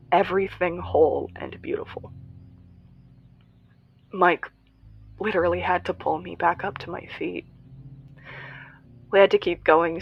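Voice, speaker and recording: Woman acting a slow, halting young radio survivor. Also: on the low side, rough recording. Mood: bitter, angry. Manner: halting, confiding, emotionally raw. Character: young female survivor and pirate radio broadcaster, grieving, defiant. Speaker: narrator of an audio drama. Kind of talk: podcast-style audio drama monologue.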